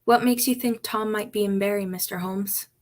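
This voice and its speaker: A woman's voice: medium pitch, steady tone, normal speed, a little mellow, natural voice. Woman playing plaintive young village maid. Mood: forlorn. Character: Mary, forlorn, defensive young village maid. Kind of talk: radio drama acting.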